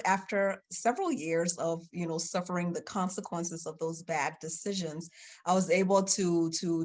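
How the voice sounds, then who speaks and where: Guest, woman in her 30s from Liberia, low voice, rambling storyteller. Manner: chatty, storytelling with many fillers. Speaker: guest, children's book author. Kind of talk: casual interview.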